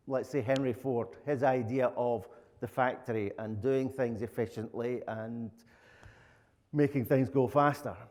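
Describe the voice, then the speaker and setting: A middle-aged Scottish man from Glasgow speaks with a Glaswegian accent at a medium pitch. He presents in an informal, plain-spoken way as a conference speaker. His voice rings with the long reverb of a hall. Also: darkish tone. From Glasgow, Scotland. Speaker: conference speaker, lead software architect. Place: conference room, indoors.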